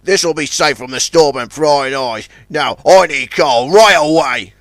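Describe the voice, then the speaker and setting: Bullying crook tugboat, loud drawl, dry close sound , read by a man. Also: on the high side, measured pace, no echo. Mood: menacing. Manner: drawling, menacing, barking orders. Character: swaggering bullying crook tugboat, male adult.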